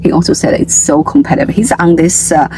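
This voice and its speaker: A woman from China, hosting a casual interview chat in quick bursts full of fillers. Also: low-pitched, steady tone, over music, poor audio. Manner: chatty, bursts of quick talk, frequent fillers. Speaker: podcast host.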